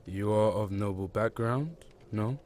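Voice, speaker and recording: A man playing Solomon, a cryptic stranger who watches people from a tavern corner and speaks in slow, leading questions. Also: fairly low pitch, a little mellow, lightly acted.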